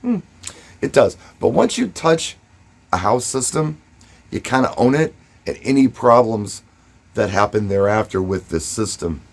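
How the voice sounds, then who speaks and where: A man speaking casually and emphatically, giving first-person advice and telling stories, with short pauses for effect and a husky voice. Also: mid-range voice. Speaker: DJ and vlogger.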